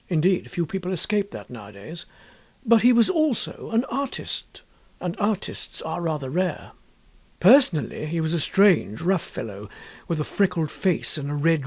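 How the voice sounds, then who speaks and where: A man reading a literary story as an audiobook narrator, in an expressive scripted style. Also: fairly high pitch, husky.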